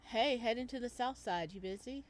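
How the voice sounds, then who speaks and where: A woman voicing a determined, guarded young man in a visual novel, speaking in a curt, low-key way. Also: moderate pace, close to an everyday voice. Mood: casual, earnest.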